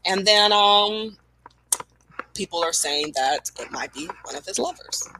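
A woman co-hosting a podcast, chatting casually and telling stories with animated, self-mocking humor. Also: mid-range voice, unhurried, background noise. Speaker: podcast co-host. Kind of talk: casual chat.

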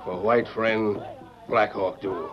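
An actor playing a stern, proud, wary chief in an old radio western, speaking terse, halting broken English with grunts. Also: male voice, on the low side, steady tone. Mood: stern, guarded. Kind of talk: 1940s radio western acting. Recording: muffled old radio recording with music.

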